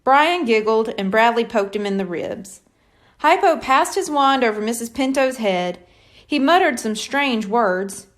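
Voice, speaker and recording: A woman narrating a children's storybook aloud as an expressive scripted reading. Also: on the high side, unhurried. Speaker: audiobook reader.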